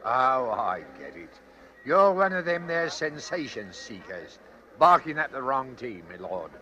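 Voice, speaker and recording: Brash, lively British policeman. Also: mid-range voice, unhurried. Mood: dismissive. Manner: colloquial, ungrammatical, unimpressed. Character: stolid, dismissive working-class British policeman on guard. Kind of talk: old-time radio drama acting.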